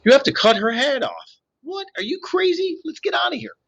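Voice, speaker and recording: A brainy teenage girl speaks fast and urgently, her voice pitched up and bright, as a male narrator puts her on. She sounds bossy and matter-of-fact, like a heroine in a storybook. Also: animated, husky, full character voice.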